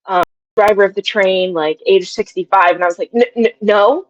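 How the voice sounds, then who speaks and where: A woman's voice: mid-range voice, moderate pace, no room sound, lo-fi sound. A woman in her twenties chatting casually as an interview guest, thinking aloud as she talks. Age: 20s. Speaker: guest, audio drama writer.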